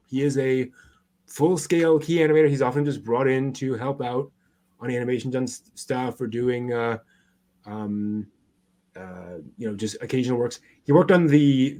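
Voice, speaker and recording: Male co-host, mid-pitched, casual news commentary, halting as he checks his notes. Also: warm tone. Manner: halting delivery, searching through notes aloud. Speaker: co-host of an anime news show.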